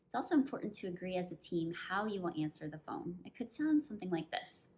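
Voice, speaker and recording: A woman dentist and coach giving an instructional presentation, explaining things clearly step by step. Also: on the low side, sing-song, fast, a little mellow. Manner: clear step-by-step explaining, with acted example phrases.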